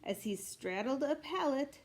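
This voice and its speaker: A woman reading a rhyming picture book aloud in an expressive, measured storytelling way meant for children. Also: high-pitched. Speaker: storybook reader.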